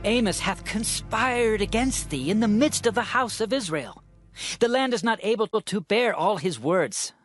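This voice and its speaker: An actor playing a haughty priest in a dramatized scripture reading, declaiming in an accusing and scornful way. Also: male voice, sits high, lively intonation, moderate pace, faint music behind. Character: haughty male priest of Bethel, accusing and dismissive.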